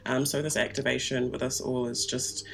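A woman's voice: very deep voice, flat delivery, moderate pace, vocal fry. A woman whose native tongue is Twi, answering casually as an interview guest, reflective and searching for words. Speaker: interview guest, performance artist.

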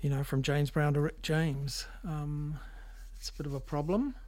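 A man hosting a music show on radio, talking casually and off the cuff in a halting, rambling, hesitant way. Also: on the high side, fairly even intonation, darkish tone. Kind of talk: casual, unscripted back-announcing of records.

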